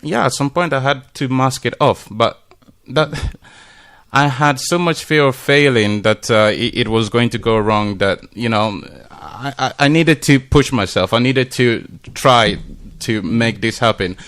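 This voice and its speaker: A soft-spoken, quiet man speaking hesitantly as a guest on a radio talk show. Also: medium pitch, measured pace, hardly any pauses. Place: radio studio.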